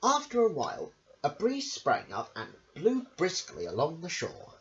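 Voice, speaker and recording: A woman's voice: low voice, huge pitch swings, unhurried, a bit bright. A woman reading a children's story aloud in a theatrical, emphatic way, sometimes stumbling over words, on a home recording. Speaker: storyteller on own channel. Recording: muffled, hissy home recording.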